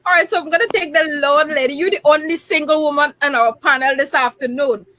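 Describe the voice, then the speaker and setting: A woman's voice: high voice, quick pace, pushed and bright, a little hiss or hum. A woman hosting a talk show, chatty and laughing often. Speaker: host.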